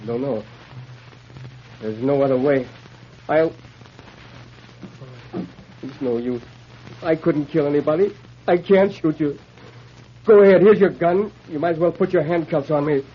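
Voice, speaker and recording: An anxious, desperate fugitive, played by a male actor, with halting, agitated speech full of feeling. The old radio drama recording is muffled and slightly noisy. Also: a little high, lightly acted. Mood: defeated.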